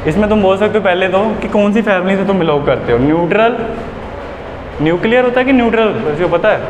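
A man's voice: high voice, animated, quick pace. A young man teaching an English lesson in a classroom, explaining patiently, mixing Hindi with English phrases, picked up by a camera across the room. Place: classroom with whiteboard. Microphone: far camera. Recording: echoey classroom, room noise.

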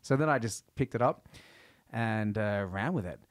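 A man's voice: fairly low pitch, lively intonation, normal speed, no room sound, clean studio sound. A middle-aged man hosting a podcast in casual chat, joking and swearing freely. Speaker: podcast host, comedian. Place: small studio room. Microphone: studio mic on boom arm, headphones.

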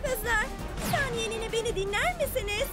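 A woman's voice: extremely high pitch, moderate pace. A woman voicing a prim, bossy little superhero girl in an earnest, scolding manner for a cartoon dub. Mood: exasperated. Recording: music behind the voice.

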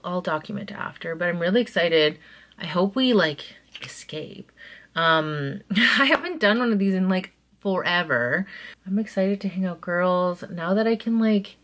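Excitable lady in her 30s talking to the camera while she does her makeup, a bit low voiced, bedroom at home. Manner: chatty and excitable, trailing into soft asides. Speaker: vlogger. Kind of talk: casual chat while doing makeup. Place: small bedroom at home, indoors. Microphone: camera close in front of her.

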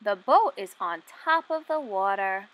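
A woman in her twenties reading a picture book aloud for young children, asking questions and enunciating deliberately. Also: mid-range voice, expressive, a bit bright, poor audio. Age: 20s.

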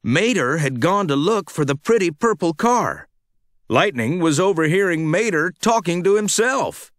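A man narrating a scripted children's read-along storybook with a dramatic, expressive delivery. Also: on the high side, moderate pace, no echo, clean studio sound.

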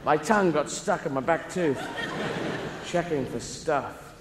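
A man's voice: on the high side, unhurried, noisy background. A man in his thirties doing a rambling, playful stand-up routine on a large theatre stage. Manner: rambling, playful, acts out voices. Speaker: stand-up comedian. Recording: echoey live theatre recording. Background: audience laughter.

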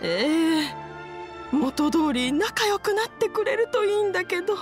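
A woman voicing a kindly, nostalgic old mother in an anime game, gentle and reminiscing. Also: very high voice, expressive, a little slow, music in the background. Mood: concerned, hopeful.

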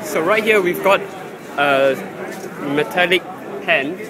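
A man giving halting, unscripted commentary as he films outdoors on a handheld device in a busy city street. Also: high voice. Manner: halting, searching for words. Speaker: amateur video maker filming a street scene. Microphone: handheld phone or camera, held by the speaker. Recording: noisy busy city street, traffic and crowd.

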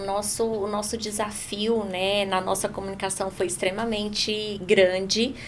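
A woman's voice: mid-range voice, slight background noise. A middle-aged Brazilian woman giving conversational, explanatory answers as a business owner in a podcast interview, with frequent tag questions. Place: small padded podcast studio. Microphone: studio mic on boom arm.

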